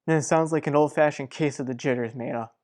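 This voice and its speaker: A frank, steady family friend in a soap opera radio play, a man voiced by a woman. The voice is strikingly low for a woman and speaks with serious, measured reassurance. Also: close to an everyday voice. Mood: reassuring.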